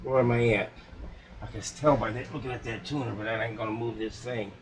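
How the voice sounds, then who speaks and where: A man's voice: mid-range voice, fairly even intonation, a little fast, a little hiss or hum. A man giving casual, unscripted commentary as a repair hobbyist, folksy and muttering to himself.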